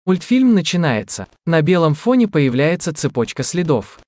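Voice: mid-range voice, very animated, normal speed, a little mellow, dry recording. A narrator reading scripted audio description for a cartoon. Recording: music under the voice. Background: prominent soundtrack music.

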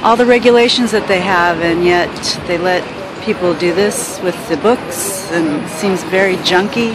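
A woman's voice: on the low side, unhurried. A woman filming herself on a city sidewalk, chatting unhurriedly about what she passes, often laughing. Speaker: vlogger walking the city. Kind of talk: casual walking vlog narration. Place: outdoors on a busy city sidewalk. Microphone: handheld camera. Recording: loud street traffic noise.

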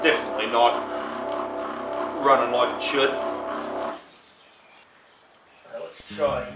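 Distant, roomy-sounding man thinking aloud. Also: mid-range voice. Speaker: host of a workshop tinkering channel. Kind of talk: casual hands-on demonstration, thinking aloud. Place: cluttered shed workshop, indoors.

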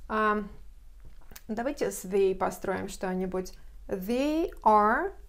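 A woman in her thirties teaching English grammar at a whiteboard, explaining carefully and saying example phrases slowly, recorded on a lapel mic in a bright room. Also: mid-range voice, expressive.

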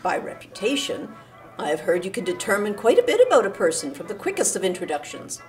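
A woman's voice: medium pitch, huge pitch swings, quick pace, faint music behind. A woman playing a bold, flirtatious American lady in an audio drama, poised, arch and self-assured. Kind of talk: audio theatre acting.

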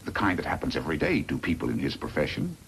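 An actor playing a scheming, sardonic villain boss in a 1950s radio western, insinuating and bossy. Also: male voice, extremely low pitch, huge pitch swings, fast, a bit bright, heavily acted. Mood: sly, calculating. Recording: noisy vintage 1950s radio recording.

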